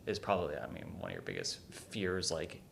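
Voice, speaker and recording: A man co-hosting a podcast, asking a long question haltingly with many restarts. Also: very deep voice, sing-song, gravelly, fairly bright tone, a little hiss or hum. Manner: halting, full of filler likes and restarts. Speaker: podcast co-host.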